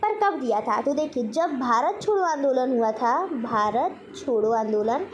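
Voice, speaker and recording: A woman teacher with an extremely high voice and an Indian accent runs through a quiz lesson for students. She poses questions and answers them herself, repeating key points. There is some faint noise in the recording. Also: expressive, a little slow. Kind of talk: general knowledge quiz lesson for exam students.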